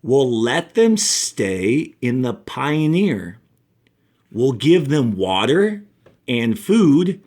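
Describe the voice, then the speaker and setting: A man voicing a practical, decisive townswoman in a storybook, speaking in an earnest, emphatic and deliberate way. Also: mid-range voice, sing-song, darkish tone, exaggerated voice. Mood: firm, practical.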